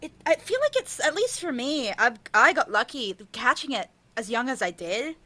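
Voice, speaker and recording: A young adult woman, an author being interviewed, talking casually in a candid, informal and slightly halting way. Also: sits high, big pitch swings, pushed and bright, dry recording.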